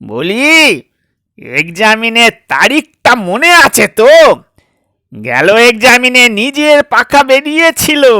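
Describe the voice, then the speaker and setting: A man voicing a stern father who scolds his grown son with biting, drawn-out sarcasm in an audio story. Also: extremely high pitch, sharp tone, dry recording, clean studio sound, voice pushed up, exaggerated voice.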